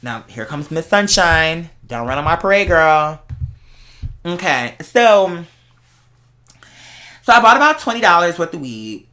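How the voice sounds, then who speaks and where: A vlog host chatting casually and candidly to viewers, telling stories in an animated, slangy way. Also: medium pitch, measured pace, long pauses. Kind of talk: casual confessional chat to viewers.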